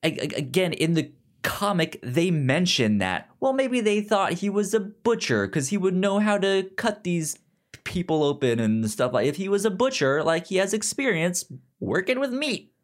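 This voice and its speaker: A podcast co-host with a mid-pitched voice of ambiguous gender talks in a casual, conversational way. The delivery is halting, with frequent stammers and repeated syllables while working through a point. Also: very animated. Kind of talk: casual comic and film discussion.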